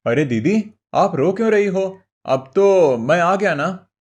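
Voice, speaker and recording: A man's voice: high-pitched, lively intonation, slow pace, darkish tone, heavily acted. A man as an earnest, devoted younger brother. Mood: soothing. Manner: earnest, respectful. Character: gentle, devoted younger brother visiting his sister. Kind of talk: moral cartoon story acting.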